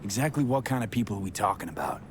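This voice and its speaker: A man's voice: lowish voice, very animated, racing. An actor playing a blunt, sardonic male mercenary who talks in short, clipped sentences. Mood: skeptical. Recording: game audio with clear background noise.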